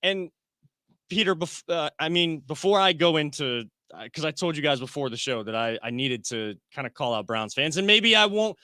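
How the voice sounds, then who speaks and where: Bright-voiced man hosting a sports show. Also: on the high side, lively intonation, normal speed, dry recording, studio quality. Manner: thinks aloud with frequent restarts. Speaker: sports podcast host. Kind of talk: casual sports talk show.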